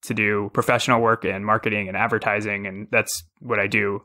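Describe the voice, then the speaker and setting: Bright-voiced wry male podcast guest. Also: on the low side, level tone, a little fast. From Texas. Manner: wry, joking asides. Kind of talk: casual interview.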